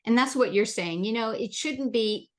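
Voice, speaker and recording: A woman's voice: fairly high pitch, a little slow. A woman from the Bahamas hosting a leadership interview, encouraging and repeating words as she builds her questions. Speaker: podcast host. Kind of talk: interview chat.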